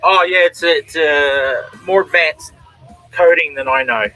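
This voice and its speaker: Bright-voiced Australian man chatting. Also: fairly high pitch, very animated, measured pace, vocal fry, faint music behind, low-quality recording. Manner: chatty, reads out and answers viewers' comments. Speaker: comic artist and stream host. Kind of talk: casual chat while drawing on a stream.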